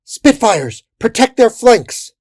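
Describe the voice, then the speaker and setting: A narrator voicing bold, commanding fairy captains who shout battle orders in a storybook. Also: medium pitch, sing-song, slow pace, no echo, studio quality, pitched above the performer's own voice. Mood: urgent commanding.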